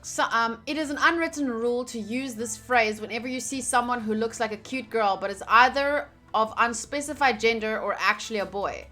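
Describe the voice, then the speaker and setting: A woman's voice: fairly high pitch, a little fast, hardly any pauses, sharp tone. A woman streamer reading a visual novel aloud and commenting on it, playfully reacting as she goes, with game music behind her. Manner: reacts playfully, acts out lines between reading.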